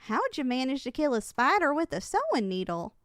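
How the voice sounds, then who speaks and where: A young woman playing a kind, caring giantess in an ASMR roleplay, speaking in a gentle, affectionate, conversational way. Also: high-pitched, wildly swooping pitch, a little fast, dry recording, clean studio sound. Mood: curious, friendly. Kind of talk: ASMR roleplay, intimate character acting.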